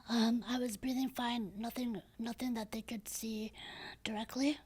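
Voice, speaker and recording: A woman in her thirties with a low, raspy, breathy voice, speaking softly and hesitantly as a podcast guest, with many fillers and restarts. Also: fairly even intonation, moderate pace. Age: 30s. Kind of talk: casual interview talk.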